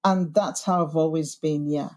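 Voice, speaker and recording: A woman's voice: sits low, steady tone, moderate pace, a little mellow. Earnest woman with a Yorkshire accent. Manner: earnest, thoughtful, with frequent restarts and emphatic lists. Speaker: podcast host being interviewed. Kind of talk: reflective conversational interview.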